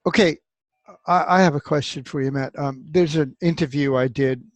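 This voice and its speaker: A man's voice: on the high side, normal speed, dry recording. A man interviewing a guest over a video call, asking conversational questions.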